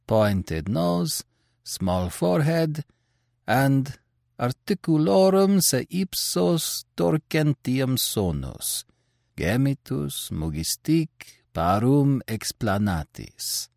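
A man voicing a cold, intellectual gentleman who murmurs his observations under his breath. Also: medium pitch, sing-song, slow pace, creaky, dry recording, clean studio sound, voice pushed up, heavily acted. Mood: murmuring.

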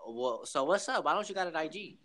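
A man's voice: on the high side, expressive, a little fast, sharp tone. An eighteen-year-old man chatting casually on a call, laid-back and slangy, saying "bro" and "like" a lot. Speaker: shoe reseller, host of the call. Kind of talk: casual chat.

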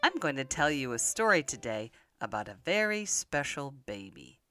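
An older woman telling Bible stories to young children in an expressive manner, often putting simple questions to the listener. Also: rumbling low, normal speed, soft background music. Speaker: children's podcast storyteller.

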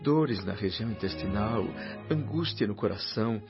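An actor playing a grieving, self-pitying middle-aged doctor in a radio drama, lamenting and pleading. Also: male voice, fairly low pitch, lively intonation, normal speed. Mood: weary. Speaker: André Luís. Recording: dull radio drama audio with faint music.

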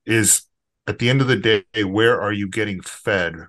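A man's voice: on the low side, normal speed, a bit bright. A man hosting a podcast, talking about faith in an earnest, meandering way. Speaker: podcast host. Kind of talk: casual faith discussion.

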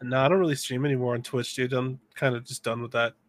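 A man's voice: medium pitch, fairly even intonation, fast talker, no room sound. A young man co-hosting a casual podcast, laid-back and calling his partner bro and dude. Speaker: podcast co-host. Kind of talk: casual chat.

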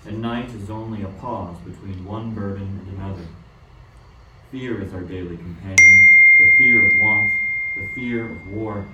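Casting: man as grave, sorrowful king, lowish and very sharp-toned, declamatory radio drama in an echoey room. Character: solemn, sorrowful king speaking for the poor. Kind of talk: amateur radio play acting. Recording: echoey room, distant mic, faint noise.

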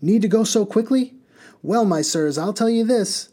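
A man's voice: high voice, expressive, normal speed, mellow, voice pushed up. A man voicing a reckless, gleeful male mail coach driver who bellows and rambles in a singsong way.

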